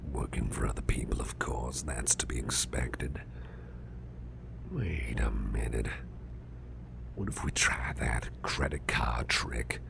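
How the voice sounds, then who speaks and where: Guy doing a huge gruff boss gone soft, super low rumbly asmr murmur, slow, noisy hiss behind. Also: heavily acted. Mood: calm, thoughtful. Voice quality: gravelly, breathy near-whisper. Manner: slow, murmuring, gently reassuring, slightly formal. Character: big muscular grumpy male boss, softening and grateful. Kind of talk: whispered ASMR roleplay, personal attention.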